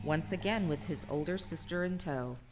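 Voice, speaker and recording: Low-voiced woman narrating a radio adventure. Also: very noisy. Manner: deliberate, dramatic. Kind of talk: scripted radio drama storytelling. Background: music.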